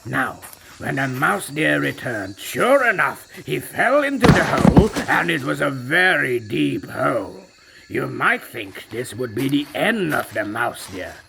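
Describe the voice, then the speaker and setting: A man's voice: mid-range voice, big pitch swings, measured pace, creaky, heavy background noise. A man narrating a storybook as a proud, magical ancient male tiger, in a theatrical, self-satisfied way. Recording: narration over loud music.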